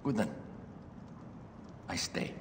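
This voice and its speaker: Actor as a devoted warrior, echoing hall. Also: male voice, slow pace, lightly acted. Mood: solemn. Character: devoted, grave warrior sworn to his queen. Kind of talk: dramatic video game cutscene acting. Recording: echoey hall, clear background noise.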